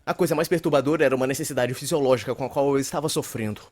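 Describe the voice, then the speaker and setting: A man's voice: medium pitch, racing, a little mellow, lightly acted. A young man with a Brazilian accent playing a neurotic, wordy university shut-in narrating his inner monologue in a dubbed anime. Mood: anxious, weary. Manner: wordy, pompous, theatrical.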